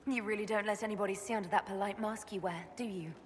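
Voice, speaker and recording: A woman's voice: mid-range voice, steady tone, fast talker, slight background noise, natural voice. An actress playing a proud, steadfast elven woman leader who speaks earnestly and directly, in game cutscene dialogue. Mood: hurt, angry. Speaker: video game character.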